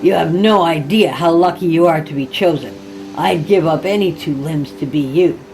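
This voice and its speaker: A woman's voice: low-pitched, normal speed, background noise. A woman voicing a drowned male corpse, a smug and courtly servant of a sea god, in a horror story reading. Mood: smug, coaxing. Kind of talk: horror audiobook character.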